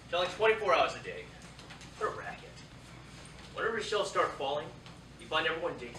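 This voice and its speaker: A man's voice: fairly high pitch, pushed and bright. A man playing a young soldier in love who writes home from war, in a staged reading. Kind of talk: staged play reading, letter monologue. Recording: echoey theatre, distant mic, noisy.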